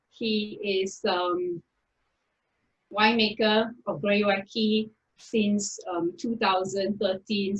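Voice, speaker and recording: A woman's voice: mid-range voice, dull audio. A woman hosting an online wine tasting and introducing it in a chatty way, with many fillers. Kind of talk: friendly event introduction.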